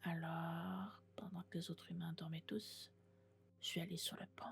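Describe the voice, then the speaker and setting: A woman playing a lovesick, possessive female robot in an ASMR roleplay, speaking in a hushed, breathy, intimate whisper. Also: lowish voice, flat delivery, normal speed, soft background music. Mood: confessing, devoted.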